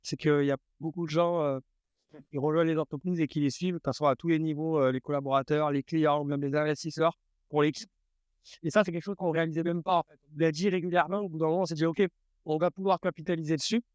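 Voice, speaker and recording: A startup co-founder talking casually at a table while eating hot sauces, halting and full of fillers and restarts. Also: male voice, fairly high pitch, steady tone, clean tone, no room sound. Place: room around a table.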